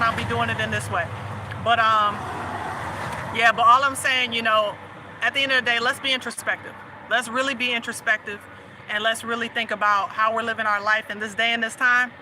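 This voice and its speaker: A woman's voice: on the high side, fairly even intonation, brisk, noisy background. A woman with a Southern US accent hosting a casual chat, laughing often and teasing her audience. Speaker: host.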